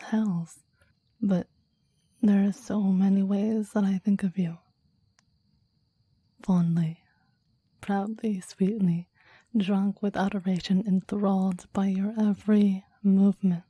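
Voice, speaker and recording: A woman voicing a possessive, devoted demon in an intimate ASMR roleplay, speaking in soft breathy whispers with a formal, intense, adoring manner. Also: medium pitch, fairly even intonation, slow, pauses often, dry recording, highs cut off. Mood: yearning, adoring. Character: possessive, devoted clingy demon bound to a summoner.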